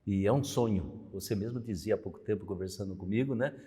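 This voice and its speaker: A man's voice: fairly low pitch, fast, dark tone, breathy edge. An older man from Brazil, a priest, talking in a reflective conversational way, often ending phrases with a questioning aside. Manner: reflective, tells memories, frequent "né?". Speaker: priest and show host. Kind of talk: conversational religious talk. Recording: long hall reverb.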